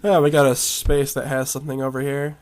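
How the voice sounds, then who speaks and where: A man with a hoarse, raspy voice chatting casually as he explores a virtual world. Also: mid-range voice, level tone, fairly quick, a little mellow. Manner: rambling, chatty, with coughs and laughs. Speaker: video game vlogger. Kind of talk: casual commentary while exploring a game.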